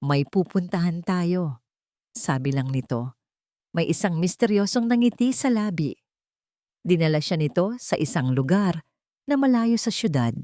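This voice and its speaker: A woman reads a dramatic love story in a fairly low voice. Her phrasing is deliberate and sentimental, and the sound is dry and close. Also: sing-song, mellow, dry recording. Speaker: story narrator. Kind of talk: scripted dramatic narration of a romance story.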